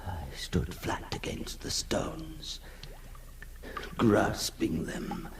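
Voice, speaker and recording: An actor playing a haunted, lonely male outcast in a halting, theatrical 1960s radio drama monologue, intense and tormented. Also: deep voice, sing-song, creaky, in a whisper, exaggerated voice. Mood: hushed dread. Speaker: actor narrating as the character. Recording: noisy 1960s radio recording.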